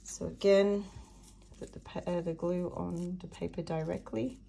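A woman hosting a casual craft tutorial with a hoarse, croaky voice, chatting and muttering asides as she works at a table. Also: lowish voice, fairly even intonation, a little slow, mellow, slight background noise. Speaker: craft video host. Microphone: overhead camera above a table, hands only.

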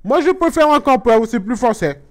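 Comic mom character: man playing a high, smooth-voiced Guadeloupean mother, chatty and naive. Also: big pitch swings, moderate pace. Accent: Guadeloupean French. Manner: rambling, earnest, mock-naive explanations. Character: chatty middle-aged Guadeloupean mother, naive about games. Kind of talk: comic gameplay commentary in character.

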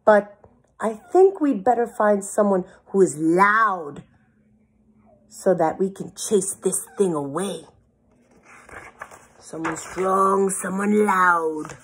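A woman voicing a big, strong, proud bear in a children's storybook, speaking in deliberate statements. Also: medium pitch, breathy edge. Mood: self-assured.

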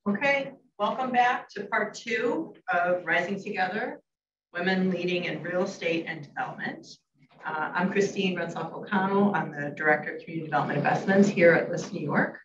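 A woman moderating a panel discussion, introducing the speakers in a brisk, businesslike way. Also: on the low side. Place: conference room. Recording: roomy, muffled sound from a distance with steady noise.

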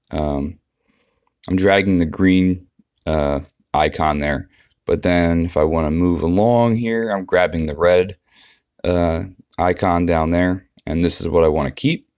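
A man's voice: sits low, clean tone, no room sound. A man giving a casual, unscripted walkthrough of an app as a tutorial presenter.

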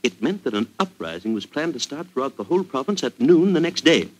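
An actor playing a proud, excitable English army colonel with a British accent in a 1940s radio drama. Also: male voice, mid-range voice, fairly quick, poor audio.

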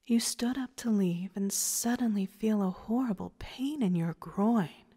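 A woman narrating a scripted story in a soft, breathy way that lapses into whispers. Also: mid-range voice, measured pace. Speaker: narrator and voice performer. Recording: reverberant hall-like echo.

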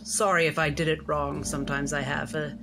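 A woman playing a courteous, wry female doctor who speaks politely and with gentle hesitation. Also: low-pitched, level tone, brisk, noisy background.

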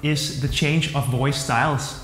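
A man's voice: mid-range voice, steady tone, measured pace. Danish-accented man in a roomy hall. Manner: upbeat and enthusiastic, plain-spoken. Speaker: software reviewer. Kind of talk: casual review to camera. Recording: roomy hall-like echo with soft music.

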